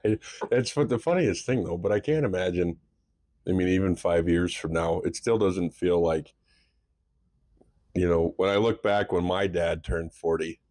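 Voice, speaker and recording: Low-voiced rambling man in his thirties. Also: moderate pace, a little mellow, no room sound, studio quality. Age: 30s. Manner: rambling, fumbles words, laughs at himself. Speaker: podcast co-host. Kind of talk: casual chat.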